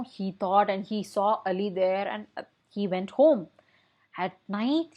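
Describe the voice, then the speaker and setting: A young woman with an Indian accent tells a children's story expressively, with questions and emphatic repeats. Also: medium pitch. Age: young adult. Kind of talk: animated read-aloud storytelling for kids. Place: small indoor room at home.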